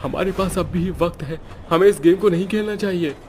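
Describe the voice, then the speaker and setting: A man's voice: high voice, a little fast, darkish tone, slight background noise, pitched above the performer's own voice, close to an everyday voice. A man playing a stern, short-tempered, worried father in a cartoon story, scolding and insistent. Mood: afraid.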